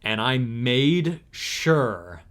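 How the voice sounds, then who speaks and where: A man's voice: mid-range voice, sing-song, extremely slow, fairly bright tone. A young man in his twenties co-hosting a casual chat, rambling with many fillers and restarts. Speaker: co-host.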